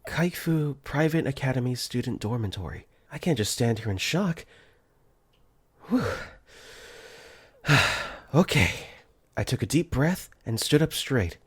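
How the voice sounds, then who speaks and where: A man's voice: mid-range voice, expressive, measured pace, long pauses, slightly rough. A man voicing an easygoing, wry teenage boy who narrates his own story in a conversational tone with joking asides, for a visual novel fan dub. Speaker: voice actor. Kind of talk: first-person visual novel narration and dialogue.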